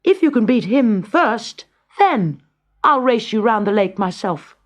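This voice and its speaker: Narrator playing a high-voiced, cunning peasant lad. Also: big pitch swings, unhurried. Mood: sly, confident. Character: plucky, cunning young peasant lad, boldly bluffing. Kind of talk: children's storybook character reading.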